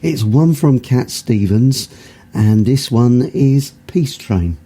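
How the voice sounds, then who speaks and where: A man's voice: medium pitch, animated, slow pace, mellow. A man hosting a radio music show, talking casually with a sore throat and a rambling, filler-heavy manner. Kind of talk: casual radio presenting between songs.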